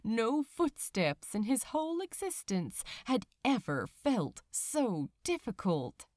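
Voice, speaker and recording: A woman reading fiction aloud as an audiobook narrator, with dramatic, deliberate phrasing. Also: on the high side, sing-song, darkish tone, no echo, clean studio sound. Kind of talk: expressive scripted audiobook narration.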